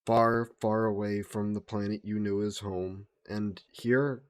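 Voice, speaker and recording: A man playing a soft yandere god who poses as a childhood friend, gentle, coaxing and evasive in an intimate roleplay audio drama. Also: lowish voice, fairly even intonation, measured pace, lightly acted. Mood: soothing, confessional.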